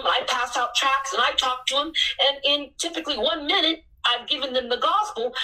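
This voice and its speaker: A woman in her sixties, a missionary, telling her story in an earnest way that bursts into excitement at times. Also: sits high, recorded from a distance, poor audio. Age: 60s.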